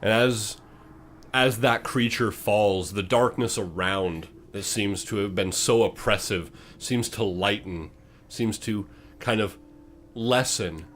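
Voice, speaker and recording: A man with a fairly low voice runs a tabletop game as host and game master. He narrates dramatically in suspenseful phrases, with clear ambient noise behind him. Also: a bit bright.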